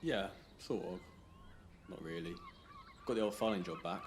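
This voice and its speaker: A British man playing a broke, easygoing young writer, gently encouraging, in a naturalistic radio drama. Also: sits low, normal speed, a little hiss or hum. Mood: reserved.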